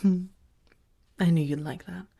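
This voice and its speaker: Female vampire roommate, played by a woman, ASMR roleplay: low, husky, soft-spoken, affectionate and protective, with wry elegance. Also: fairly even intonation, heavily acted. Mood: delighted, flirty. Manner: slow, tender, gently theatrical, breathy murmurs.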